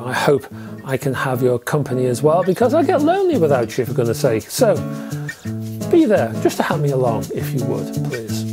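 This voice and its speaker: A British man in his fifties presenting a review to camera as a host, chatty and emphatic with deliberate phrasing. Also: mid-range voice, a little mellow, husky. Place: small indoor room with record shelves.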